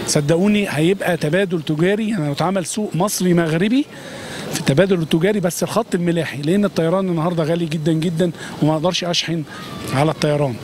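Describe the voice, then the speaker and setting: A middle-aged Egyptian man with an Egyptian Arabic accent, talking emphatically and effusively in a street interview at night. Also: on the high side. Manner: effusive, emphatic, swears by God often. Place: city street at night, outdoors. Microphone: handheld reporter mic. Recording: outdoor street noise.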